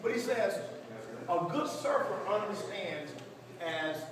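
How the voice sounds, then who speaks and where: A man's voice: high-pitched, animated, slow pace, crowd noise. A man speaking as a pastor leading a church workshop, preaching and teaching emphatically, repeating phrases and seeking responses from his listeners. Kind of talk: preaching-style teaching to a live group. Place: church meeting room with seated audience. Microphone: distant camera among the audience. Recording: echoey hall, distant mic, noisy room.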